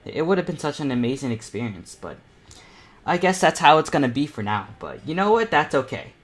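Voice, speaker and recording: A man's voice: fairly high pitch, big pitch swings, brisk. An eighteen-year-old man hosts a painting panel, talking casually and informally, rambling and thinking aloud as he works. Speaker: self-taught artist hosting a painting panel. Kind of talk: casual live painting tutorial chat.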